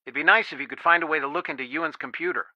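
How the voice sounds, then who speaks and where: A man's voice: fairly high pitch, rapid-fire, dry recording, highs cut off, lightly acted. A man voicing a stern, worried middle-aged father and lawyer in a video game, earnest, firm and protective. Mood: concerned. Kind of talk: video game voice acting, one side of phone conversations.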